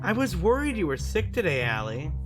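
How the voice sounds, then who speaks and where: Guy doing a bubbly pushy college girl from a visual novel, pitched up, emphatic, music behind. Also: huge pitch swings, fairly quick. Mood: eager. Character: cheerful, pushy young female college student who draws. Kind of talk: visual novel character read aloud. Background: music from the game.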